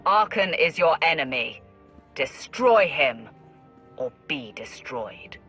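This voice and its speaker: Sith warrior commander for a game: forceful, sharp, imperious, faint music beneath. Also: female voice, low-pitched, very animated, takes it slowly, full character voice. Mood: stern, rousing. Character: stern, commanding Sith warrior leading an alliance. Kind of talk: dramatic video game acting.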